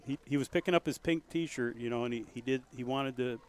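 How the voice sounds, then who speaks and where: A man calling a local high school football game play by play, folksy and rising to loud excitement on big plays. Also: brisk, a little hiss or hum. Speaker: play-by-play commentator.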